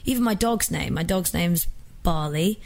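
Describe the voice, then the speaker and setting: A woman's voice: on the low side, normal speed, gravelly, a little mellow. A young woman from England talks in a loose, conversational way, her English accent worn toward American. She is chatty and self-deprecating, and she often trips over and restarts her sentences. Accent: mixed English and American. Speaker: singer guest. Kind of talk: casual interview chat.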